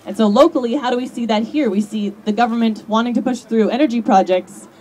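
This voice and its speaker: A woman's voice: a little high, fast talker, warm tone, slight background noise. A woman speaking at an outdoor rally, talking in a conversational way with frequent fillers. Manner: conversational, many "you know" fillers. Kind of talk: rally speech.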